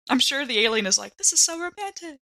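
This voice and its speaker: A woman's voice: high voice, pushed and bright. A woman playing a tabletop roleplaying game, bantering and reacting casually.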